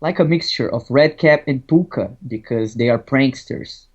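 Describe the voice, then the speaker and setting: A man's voice: medium pitch, moderate pace. A man from Brazil with a Brazilian Portuguese accent, a podcast guest chatting casually and hesitantly, restarting often. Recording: dull lo-fi audio.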